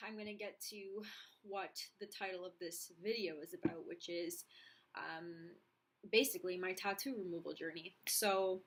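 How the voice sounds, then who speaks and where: A young woman talks straight to the camera in a relaxed personal vlog. Her voice sits on the low side, and she speaks candidly, circling back with frequent ums and restarts. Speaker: vlogger. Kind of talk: casual talking-to-camera vlog.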